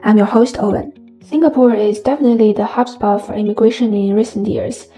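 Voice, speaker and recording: A woman's voice: medium pitch, steady tone, a little fast, warm tone, poor audio. A woman hosting an interview, reading a polished, upbeat script. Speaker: interview host. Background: faint background music.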